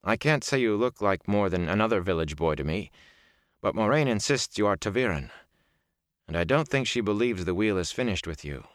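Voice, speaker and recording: A man voicing a fierce young village wise woman with a sharp, touchy, bossy manner in a fantasy audiobook. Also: on the low side, expressive, fairly quick, no room sound, studio quality, close to an everyday voice. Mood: slow, doubtful.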